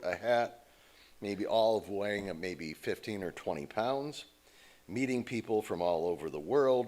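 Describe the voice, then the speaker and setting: A man's voice: fairly low pitch, darkish tone. An American man speaking as a guest at a church service, telling stories in a conversational way. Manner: conversational, storytelling with quoted voices. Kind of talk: informal talk from the pulpit.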